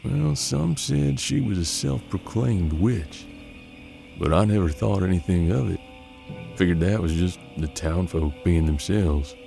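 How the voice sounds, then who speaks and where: A man playing a seasoned, pragmatic bounty hunter in a western audio drama, with measured, folksy frontier talk. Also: deep voice, lively intonation, gravelly, dark tone, faint music behind, heavily acted. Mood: grim, urgent. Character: seasoned male bounty hunter detective of 1870s Kansas, steady, pragmatic.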